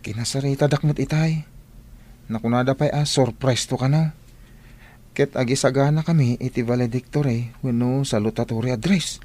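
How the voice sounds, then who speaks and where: A man's voice: medium pitch, moderate pace, a little mellow, lightly acted. A man playing an earnest, humble teenage student in a radio drama, speaking politely with an Ilocano Filipino accent. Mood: doubtful.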